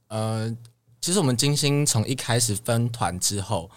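A man's voice: medium pitch, moderate pace. A young man with a Taiwanese Mandarin accent, chatting casually as an idol trainee guest. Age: young adult. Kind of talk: casual podcast chat.

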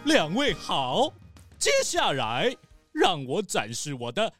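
A woman's voice: deep voice, huge pitch swings, takes it slowly, soft background music, full character voice. A woman playing an eager, theatrical gibbon gardener in a children's audio drama. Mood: showy.

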